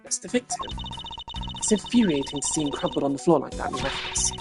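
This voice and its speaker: Proud, intense female investigator acted by a woman, lowish voice with big melodic swings, haughty, video game role. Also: very animated, fast, music in the background. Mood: indignant. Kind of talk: amateur video game dialogue voicing.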